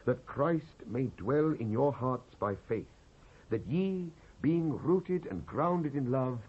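A British man giving a solemn, declamatory dramatised scripture reading in measured phrases with pauses. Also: mid-range voice, breathy edge. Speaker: Bible reader, actor. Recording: dull lo-fi cassette transfer.

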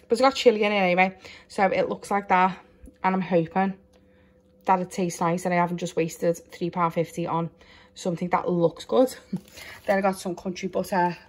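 A young woman from England chatting casually through a grocery haul in her kitchen, rambling and trailing off with little asides. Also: medium pitch. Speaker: vlogger. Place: home kitchen, indoors.

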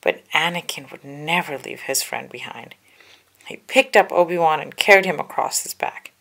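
A woman's voice: deep voice, moderate pace, gravelly, a bit bright. A woman reading a children's storybook aloud with careful, dramatic narration. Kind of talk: children's book read-aloud, scripted narration.

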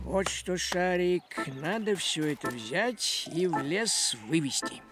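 Slow, high-voiced grumbling cartoon cat. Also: male voice, animated, over loud music. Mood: scheming. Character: thrifty, grumbling, self-important male cartoon cat. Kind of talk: cartoon voice acting.

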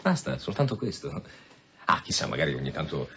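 An actor playing a sardonic, insistent police commissioner in an Italian radio drama, with sarcastic repetitions and veiled threats. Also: male voice, very deep voice, big pitch swings, fairly quick, exaggerated voice. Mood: sardonic, menacing. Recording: dull sound with faint background noise.

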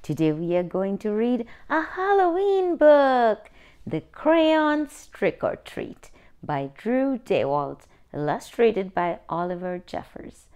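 A woman reads a children's picture book aloud at a very unhurried pace. Her pitch rises and falls a great deal as she takes each line, and she slips into playful voices for the characters. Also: smooth. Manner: slow, lively storytime reading with playful character voices. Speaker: children's book reader, channel host. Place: indoors at a table, hands over a book.

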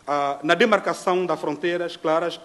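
A middle-aged man from Congo speaks at a panel table in a dim hall. His voice sits a little high for a man. He talks in a measured, reflective way, pausing often to find his words. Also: steady tone, fairly bright tone. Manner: measured, with frequent hesitations. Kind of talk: formal reflective talk.

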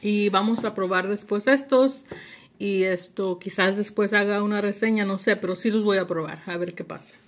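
A woman's voice: medium pitch, normal speed. A woman giving a casual product haul review, mixing English words into her speech. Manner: mixes English words into Spanish. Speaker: vlogger. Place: indoors at a table at home.

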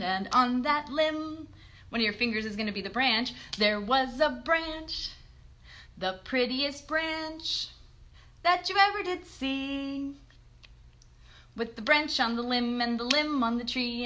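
A woman in her thirties hosting a children's livestream, singing along and chatting to young viewers in an animated, encouraging way. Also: high voice. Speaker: children's livestream host and puppeteer. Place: bedroom, indoors. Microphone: phone at about arm's length or a little more.

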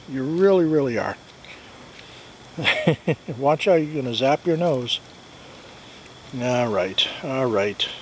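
A man's voice: a little high, fairly bright tone, a little hiss or hum. A man in his forties giving a casual, jokey walk-around of his half-built barn outdoors, recorded on a handheld camera. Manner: chatty, jokey, talks to his goats. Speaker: homesteading vlogger. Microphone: handheld camera mic.

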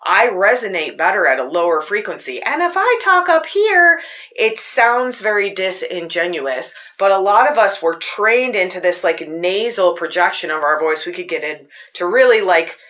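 A woman with a fairly low voice hosting a podcast, telling personal stories in an earnest, searching way. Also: big pitch swings, moderate pace, fairly bright tone. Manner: earnest, personal storytelling with frequent restarts. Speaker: podcast host. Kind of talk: casual spoken reflection. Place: Tucson.

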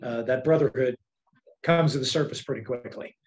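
A man moderates a video call with a polite, deferential manner and calls his guests sir. His pitch sits mid-range, neither high nor low. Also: normal speed, a little mellow. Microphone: webcam mic on video call.

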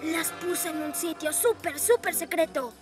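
A woman's voice: extremely high pitch, a little slow, loud music behind the voice. A woman voicing a rowdy, boisterous young werewolf kid in a cartoon dub. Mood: gleeful, boastful. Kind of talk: Spanish-dubbed children's cartoon acting.

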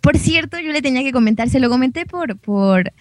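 A woman's voice: sits high, expressive, a little fast, dry recording. A young adult woman with a Mexican accent, chatting casually and enthusiastically as a guest in an interview.

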